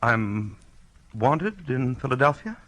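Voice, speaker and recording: A man's voice: medium pitch, very animated, slow pace, a bit bright. An actor playing a nervous, polite man bewildered by the police, hesitant and stammering, in a 1940s radio drama. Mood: apologetic, bewildered. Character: nervous, polite, bewildered quiet male stranger under arrest.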